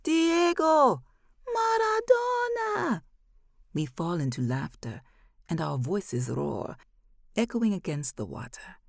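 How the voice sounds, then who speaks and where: Low-voiced woman reading a story. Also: lively intonation, a little slow, creaky, warm tone, breathy edge, no room sound, studio quality. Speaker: narrator reading a short story. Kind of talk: literary first-person audiobook narration.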